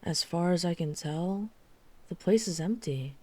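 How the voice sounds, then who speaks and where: A woman playing a guarded, weary vampire hunter who is herself a vampire, speaking in a measured, serious way in an ASMR roleplay. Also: on the low side, dark tone. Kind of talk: ASMR roleplay audio drama acting.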